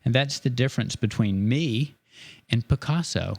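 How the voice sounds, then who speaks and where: A man's voice: medium pitch, normal speed, creaky. A man drawing on a live art stream, chatting casually and joking while he thinks aloud about his strokes. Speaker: artist demonstrating a drawing.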